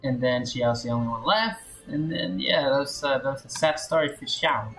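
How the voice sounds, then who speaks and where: A woman's voice: rumbling low, lively intonation, moderate pace, vocal fry, fairly bright tone, soft background music, low-quality recording. A woman game streamer giving casual playthrough commentary.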